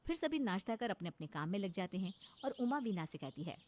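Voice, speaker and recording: A woman's voice: mid-range voice, sing-song, brisk, a little mellow. A woman storyteller reading a scripted narration of a family moral tale.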